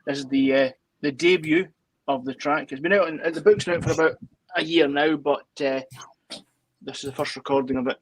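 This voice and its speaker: Husky Scottish man, closely recorded. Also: fairly high pitch, fairly even intonation, fairly quick, no room sound, rough recording. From Dundee, Scotland. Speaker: co-host and tune composer. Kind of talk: casual live chat.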